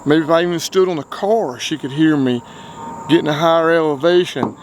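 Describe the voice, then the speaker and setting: A man with a North Carolina accent explains a radio test outdoors in a relaxed, chatty way. His voice sits a little high, and a faint hiss of outdoor sound runs under it. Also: brisk, rough recording. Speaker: outdoors channel host. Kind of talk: casual gear-test vlog. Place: outdoors by a car.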